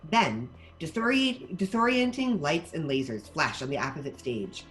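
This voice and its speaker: Lively, very varied male narrator. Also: on the high side, moderate pace, faint music behind, rough recording. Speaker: narrator reading stage directions. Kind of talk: scripted narration.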